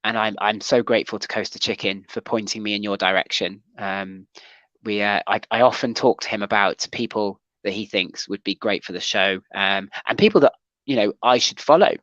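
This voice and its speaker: A man's voice: fairly low pitch, fairly even intonation, normal speed, sharp tone, no room sound, highs cut off. A man hosting a casual livestream chat show, talking with many ums and restarts. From Wales. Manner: hesitant, full of ums and restarts.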